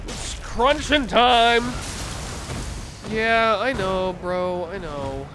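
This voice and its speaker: Young male streamer, stuffy nasal voice, high and animated, loud game sound under him. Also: very slow, background noise. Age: young adult. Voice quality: nasal, congested. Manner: rambling, swings from mumbling to loud outbursts. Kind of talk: live gameplay commentary. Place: small home room.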